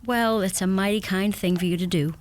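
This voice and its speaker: A woman playing a gentle American newcomer to rural Ireland in a radio play. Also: mid-range voice, steady tone, brisk, lightly acted. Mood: warm, admiring. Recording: noisy radio play, sheep sounds behind.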